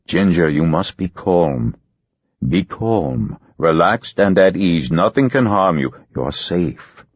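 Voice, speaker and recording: A man voicing a male hypnotist, giving soothing, slow, insistent commands. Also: low voice, animated, breathy edge, no room sound, muffled recording, full character voice.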